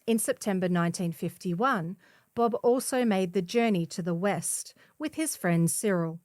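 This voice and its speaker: A woman's voice: mid-range voice, lively intonation, normal speed, darkish tone, dry recording. A woman reading a scripted feature article as a volunteer newspaper narrator. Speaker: volunteer reader of a community newspaper.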